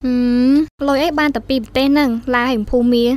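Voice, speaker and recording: Woman acting a high-voiced, very quick travel journalist. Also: steady tone, dry recording, close to an everyday voice. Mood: casual, chatty. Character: young female travel journalist, reserved but helpful. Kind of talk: radio drama acting.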